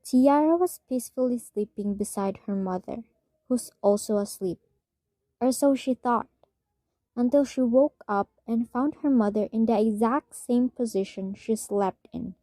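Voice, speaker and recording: A woman's voice: fairly high pitch, a little slow, warm tone, dry recording. A young woman reading scripted storytelling narration for a radio drama. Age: young adult.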